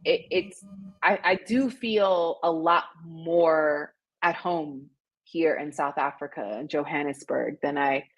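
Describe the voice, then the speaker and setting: A woman's voice: low-pitched, slow. A woman from the United States, a guest in a casual interview, speaking in a reflective way with loose fillers.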